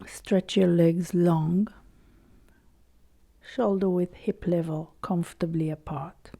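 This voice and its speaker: Female meditation guide, low-pitched and very soft-toned, soothing delivery in short phrases, recorded close and dry. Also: fairly even intonation, vocal fry, dry recording. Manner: soothing, unhurried, with long pauses between short phrases. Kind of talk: slow guided body-scan meditation.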